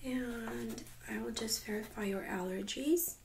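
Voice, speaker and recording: A woman in her thirties speaking gently and very softly, often near a whisper, in an ASMR medical role-play as an examiner. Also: a little high, measured pace, vocal fry, a little hiss or hum. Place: small indoor room set up as exam office.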